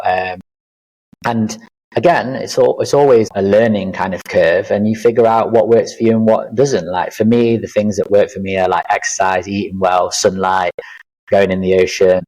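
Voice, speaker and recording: Podcast guest, man in his thirties, low voice, earnest, reflective, rambling with fillers. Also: darkish tone, rough recording. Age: 30s. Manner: reflective, earnest, lists experiences with many fillers. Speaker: podcast guest, life coach. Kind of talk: casual interview chat.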